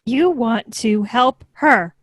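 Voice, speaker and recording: A woman's voice: on the high side, slow, studio quality. A woman voicing an obedient, literal-minded little robot girl in a sitcom table read. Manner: flat, literal, echoing commands.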